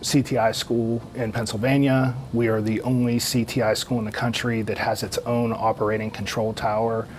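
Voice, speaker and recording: A man's voice: mid-range voice, little pitch movement, normal speed, few pauses, rough recording. A man with an American accent explaining things in an interview as an air traffic control instructor.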